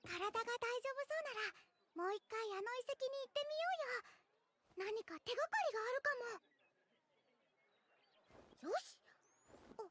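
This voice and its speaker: Very high, feisty little anime girl. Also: lively intonation, moderate pace, pauses often, a bit bright. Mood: sweet, eager. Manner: theatrical, switching between sweet girlishness and haughty elder-like speech. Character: small feisty girl, cute yet scheming, sometimes old-fashioned speech. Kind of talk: Japanese anime game cutscene acting. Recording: music behind the voice.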